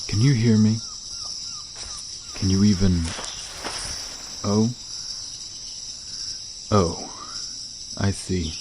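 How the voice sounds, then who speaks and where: A man voicing a curious, gentle, shy mer-shark in an ASMR roleplay, speaking casually and hesitantly, often trailing off. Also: sits low, gravelly, noisy background, exaggerated voice. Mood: tentative, friendly. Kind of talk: ASMR roleplay, soft conversational acting to the listener. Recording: nature ambience with chirping insects. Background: crickets and outdoor night ambience.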